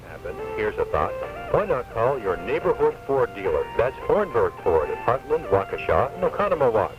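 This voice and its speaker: A man's voice: medium pitch, sing-song, moderate pace. A man reading a scripted radio commercial as an announcer. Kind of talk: scripted car dealer advertisement. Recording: dull lo-fi AM radio recording. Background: music.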